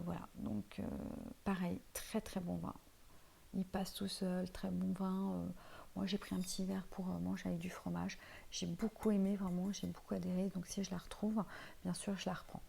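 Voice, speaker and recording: A woman's voice: mid-range voice, flat delivery, moderate pace, vocal fry, warm tone, slightly rough. A woman of Portuguese heritage, living in France, chatting casually to her viewers as she reviews finished products, with lots of hesitant fillers. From Portuguese heritage, lives in France. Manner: chatty, many fillers, addresses viewer informally. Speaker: vlogger reviewing used-up products. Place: home, tiled room.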